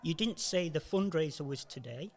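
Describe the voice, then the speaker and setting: Man acting grumpy, scornful older businessman, swooping pitch. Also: lively intonation, normal speed, lightly acted. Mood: irritated. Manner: gruff, sneering, swearing. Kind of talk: amateur community radio play acting.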